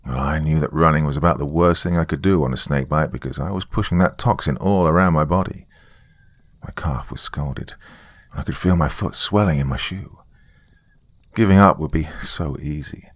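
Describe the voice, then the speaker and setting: A man narrating a dramatic first-person story in a deliberate, folksy storytelling manner. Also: extremely low pitch, no room sound.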